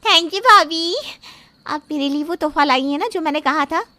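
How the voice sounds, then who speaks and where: A woman's voice: high-pitched, moderate pace, bright, forceful tone, no echo. A woman playing a spoiled, pouty twenty-one-year-old little sister in a story drama, whiny and petulant. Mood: eager. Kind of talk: dramatised Hindi moral story acting.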